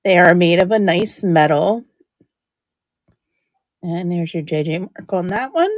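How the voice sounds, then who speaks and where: A woman running a live-stream auction, chatting warmly about each item while she loses her voice and keeps clearing her throat. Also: fairly low pitch, animated, a little slow. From New Jersey. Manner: chatty, describing items up close.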